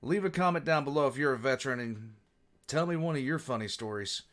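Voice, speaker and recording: A man's voice: medium pitch, fast, a bit bright. A man, a navy veteran hosting the chat, dropping dry, wry remarks. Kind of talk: casual reaction chat.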